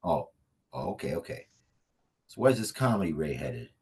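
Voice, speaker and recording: A man's voice: very deep voice, big pitch swings, moderate pace, creaky, a little mellow, slightly rough, heavily acted. A man playing a casual, foul-mouthed, gullible guy fishing for news in a comedy table read. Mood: incredulous.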